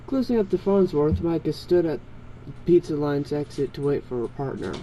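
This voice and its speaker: A man's voice: a little high, lively intonation, moderate pace, mellow, low-quality recording. A man reading fan fiction aloud, narrating and voicing dialogue, sometimes stumbling over long words. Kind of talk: scripted story narration read aloud, with character dialogue. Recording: amateur recording with background noise.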